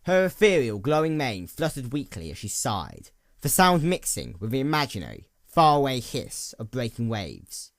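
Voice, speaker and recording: A man narrating a dramatic story in a solemn, deliberate and expressive manner. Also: medium pitch. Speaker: audiobook narrator of fan fiction.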